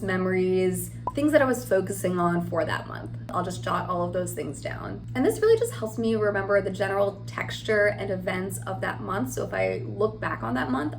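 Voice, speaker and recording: A woman vlogger in casual chat, a little sniffly and scratchy from a cold, talking in a gentle, dreamy way with light fillers. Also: mid-range voice, a little fast, few pauses. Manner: gentle, dreamy, warm-hearted talk with light laughs and fillers. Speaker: lifestyle vlogger.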